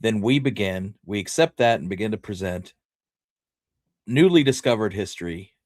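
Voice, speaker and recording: A man co-hosting a casual history discussion, explaining his points in a measured, deliberate way. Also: lowish voice, lively intonation, no room sound, studio quality. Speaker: podcast co-host.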